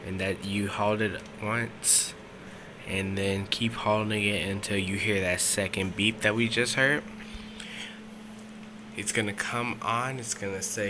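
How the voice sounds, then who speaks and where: Low-voiced man, casual tech tutorial. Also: noisy background. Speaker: video creator giving a tech tutorial. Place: indoors, small dim room at a desk setup. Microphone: handheld camera or phone mic.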